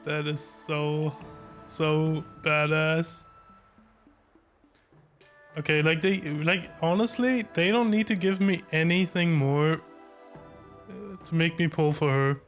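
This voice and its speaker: Casting: laid-back male game streamer, slow casual delivery, music low in background. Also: steady tone. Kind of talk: casual game reaction commentary.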